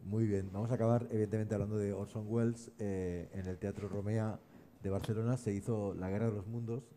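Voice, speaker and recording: A man moderates a panel discussion in a measured, slightly hesitant manner. His voice is very dark and relaxed, slightly on the low side, with faint background noise from the live event. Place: live round-table event.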